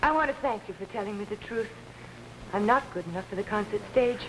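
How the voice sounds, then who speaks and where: An actress playing an ambitious young pianist who is outwardly demure and secretly scheming, in 1950s television drama style. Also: female voice, mid-range voice, fast talker, close to an everyday voice. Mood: composed, subdued. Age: young adult. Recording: noisy, aged 1950s television soundtrack.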